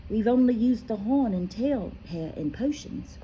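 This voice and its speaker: Curious young boy wizard, questioning, higher voice, read by a woman. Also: lively intonation, a little slow, warm tone, a little hiss or hum, low-quality recording. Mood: puzzled. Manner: puzzled, questioning.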